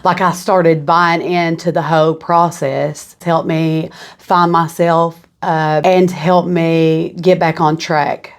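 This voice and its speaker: A woman's voice: sits low, flat delivery, slow pace, hardly any pauses. A young woman from southeastern Kentucky with a Kentucky accent, a guest on a podcast who talks casually with lots of "you know what I'm saying". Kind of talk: casual interview.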